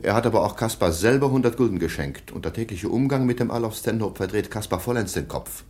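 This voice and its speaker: An actor playing a stern middle-aged baron and guardian in a radio play, formal and disapproving. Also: male voice, on the low side, fast talker, darkish tone, close to an everyday voice.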